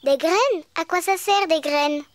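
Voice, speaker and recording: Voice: extremely high pitch, unhurried. Une interprète de dessin animé qui joue une petite cochonne enjouée, un peu autoritaire et enfantine. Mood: curious. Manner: playful, childlike. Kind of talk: French cartoon dubbing.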